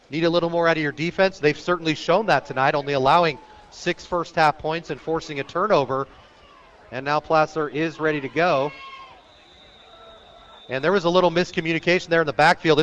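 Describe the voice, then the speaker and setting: Male radio play-by-play sportscaster, fairly high-pitched, clipped descriptive delivery for live high school football. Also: highs cut off, a little hiss or hum. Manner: clipped descriptive phrases, excited on big plays. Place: outdoor stadium, live radio broadcast.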